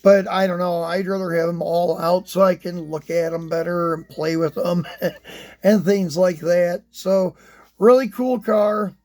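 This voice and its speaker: A man hosting a die-cast car channel, chatting casually through a review, relaxed and rambling with plenty of ums, his voice slightly hoarse. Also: high-pitched, a little slow. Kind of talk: casual unboxing and review talk.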